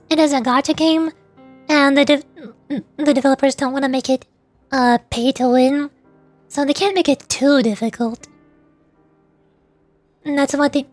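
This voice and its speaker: A woman's voice: high-pitched, a little mellow, no echo. A woman chatting casually on a livestream as a VTuber game streamer, in a giggly, rambling way. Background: faint music.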